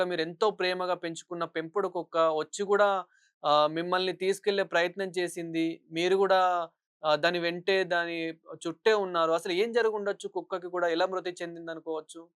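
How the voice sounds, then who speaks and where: A man's voice: sits high, fairly bright tone, clean tone, no room sound, studio quality. A man working as a news interviewer, putting long, wordy questions in a phone interview.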